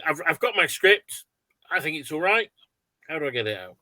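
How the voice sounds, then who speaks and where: A man's voice: a little high, sing-song, normal speed, sharp tone, no room sound. A man hosting a webinar, putting questions to his guest in an informal, chatty way. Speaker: webinar host. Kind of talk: casual interviewing, relaying audience questions.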